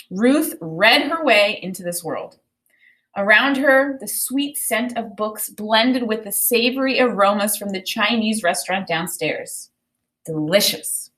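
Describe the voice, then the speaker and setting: A woman with a mid-pitched voice reads aloud as a teacher would to a class. She phrases the storybook deliberately and expressively, and shifts into plain explanation as she thinks aloud about it. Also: fairly bright tone. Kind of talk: read-aloud of a children's book with think-aloud commentary.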